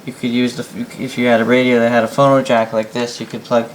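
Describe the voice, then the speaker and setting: A young adult man with a Canadian accent giving a casual, unscripted hobby demonstration in a halting, rambling way, often repeating words. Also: mid-range voice, level tone, poor audio. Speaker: vintage radio hobbyist and host. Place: indoor workbench in a room. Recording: home video with faint background noise.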